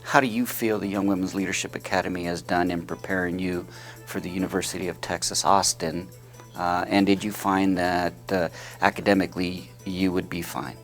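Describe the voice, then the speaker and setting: A man's voice: low voice, little pitch movement, a little fast, soft background music. A man interviewing a former student, asking long questions with many hesitant fillers.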